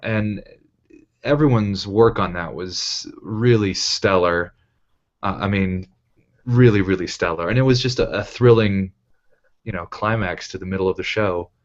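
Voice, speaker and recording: A man's voice: sits low, fairly even intonation, a little slow. A man chatting casually on a cast panel, stressing and repeating words for emphasis, over a lo-fi connection. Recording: dull lo-fi voice call.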